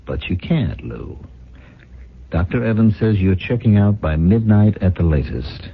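An actor playing a cynical, scheming gold-digger husband in a radio drama, theatrical, smug and mock-tender. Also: male voice, fairly low pitch, expressive, a little slow, husky, full character voice. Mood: smug, gloating. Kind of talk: radio drama acting and first-person narration. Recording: dull lo-fi 1970s radio broadcast.

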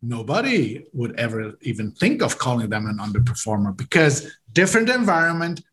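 A man's voice: medium pitch, sing-song, fairly quick, fairly bright tone. A man from Switzerland, a native German speaker, explaining leadership ideas as a podcast guest, often repeating words as he talks. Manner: explanatory, uses garden metaphors, repeats words. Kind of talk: interview conversation.

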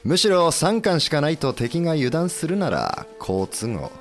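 An actor playing a composed, authoritative navy leader of a rebel republic in a Japanese historical audio drama. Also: male voice, on the high side, very animated, a little slow, soft background music. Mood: confident.

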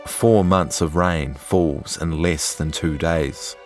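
A man reading scripted documentary narration in a measured, serious storytelling manner. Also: very deep voice, soft background music. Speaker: journalist narrator.

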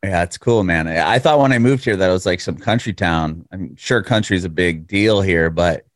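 A man from California hosting a music podcast over a video call, talking in a relaxed, friendly way with plenty of fillers. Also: low voice, moderate pace, no room sound, studio quality. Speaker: podcast host. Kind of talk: casual interview chat.

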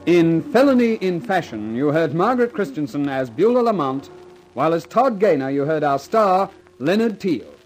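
A man's voice: on the high side, big pitch swings, normal speed, faint music behind, poor audio. A man reading scripted credits as a 1950s radio drama announcer.

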